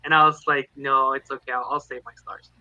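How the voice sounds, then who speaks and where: A man's voice: medium pitch, flat delivery, fairly quick, bright, forceful tone, dry recording, lo-fi sound. A man in a casual book discussion, rambling along and voicing quotes as he tells anecdotes. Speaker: read-along panelist. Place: online live video chat.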